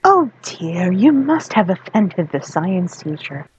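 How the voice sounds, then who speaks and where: Performer doing an animated, gushing friendly schoolteacher woman. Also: lowish voice, slow, highs cut off, voice pushed up. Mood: knowing, kindly.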